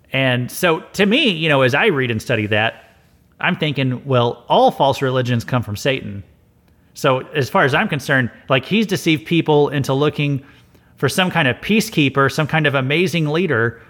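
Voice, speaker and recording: A man's voice: mid-range voice, fairly bright tone. A man hosting a Bible study podcast, answering listener mail in a casual, earnest way with frequent fillers and restarts. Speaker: podcast host. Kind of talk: casual mailbag commentary.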